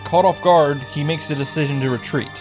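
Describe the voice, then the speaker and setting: Male recap host, slightly high voice, wry and sweary, mock-grand delivery, music underneath. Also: a little fast. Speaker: visual novel reactor and narrator. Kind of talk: scripted comedic recap commentary.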